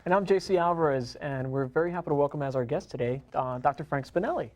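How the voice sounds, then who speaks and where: A man's voice: on the high side, fast, a little mellow. A man co-hosting an interview show who talks in an enthusiastic, chatty way with lots of fillers. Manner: enthusiastic, chatty, full of fillers like "you know". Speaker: co-host. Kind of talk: interview conversation.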